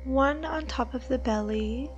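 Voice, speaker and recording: A woman leading a guided meditation, giving soothing, unhurried instructions with long pauses between phrases. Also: sits high, muffled recording, slight background noise. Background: background music.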